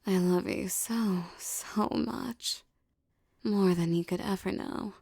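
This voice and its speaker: A woman as a mellow, tough, doting mafia girlfriend. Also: mid-range voice, fairly even intonation, takes it slowly, gravelly, breathy edge, no room sound, clean studio sound, exaggerated voice. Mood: tender, teasing. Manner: soft, intimate, slangy tough talk with tender teasing. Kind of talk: ASMR romance roleplay, intimate scripted acting.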